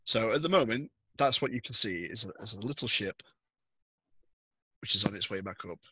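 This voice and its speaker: A man with a lowish, husky voice narrates as game master of a tabletop roleplaying game. He feels his way through sentences haltingly, with a bright edge and a dry, close sound. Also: lively intonation, dry recording, rough recording. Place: indoors, on a group video call.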